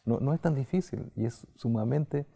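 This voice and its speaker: Man, gravelly, very dark tone, earnest, encouraging. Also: medium pitch, normal speed. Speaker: church leader. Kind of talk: encouraging talk.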